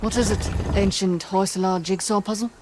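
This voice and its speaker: An actress plays a serious female mercenary in a video game. She is guarded and dry, with terse phrasing at a middling pitch. A clear hissy ambience runs behind her voice. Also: fairly even intonation, moderate pace, natural voice. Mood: skeptical. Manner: dry, terse, matter-of-fact.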